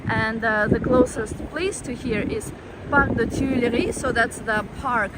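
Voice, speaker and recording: A woman's voice: a little high, measured pace. A woman hosting a live-stream walking tour, chatting casually to her viewers while walking outdoors in a city. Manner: chatty, reads out chat comments. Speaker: travel vlogger. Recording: street noise and traffic behind.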